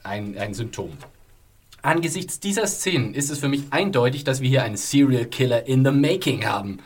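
A man's voice: mid-range voice, expressive, normal speed, breathy edge. Man moderating a series podcast. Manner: steers the talk, jokes in between. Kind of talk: scripted review narration and casual podcast chat.

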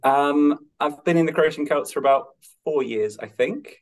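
A man's voice: on the high side, moderate pace. A man with a non-Irish accent speaking as a podcast guest in casual, hesitant, rambling interview chat. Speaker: podcast guest, Gaelic games club member.

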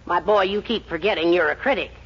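A man's voice: sits high, quick pace, breathy edge. An actor playing a scheming middle-aged family man in 1940s radio comedy, urging and conspiratorial. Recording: muffled, hissy 1940s radio recording.

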